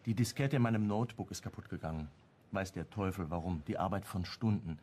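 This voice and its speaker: An actor playing a hunted, wry amateur sleuth, narrating and acting in a crime radio play in a clipped, brooding way. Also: male voice, a little fast, a little mellow, a little hiss or hum, natural voice. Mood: pleading. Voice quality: low, husky. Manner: clipped, brooding, first-person telling.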